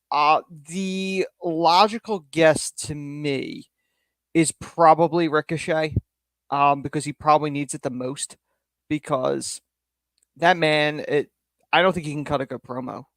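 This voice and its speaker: A man's voice: on the high side, no room sound. Earnest man musing on a podcast. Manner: thinking aloud, frequent fillers and restarts, earnest. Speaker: podcast co-host. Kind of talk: casual opinion chat.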